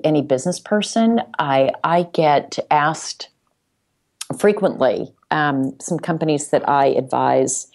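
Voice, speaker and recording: Low-pitched woman in business conversation. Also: darkish tone. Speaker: regular podcast guest, corporate sales executive.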